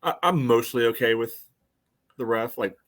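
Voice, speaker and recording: An American man co-hosting a sports podcast, giving casual game analysis in a measured, conversational way. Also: mid-range voice, fairly bright tone. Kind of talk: casual soccer match analysis.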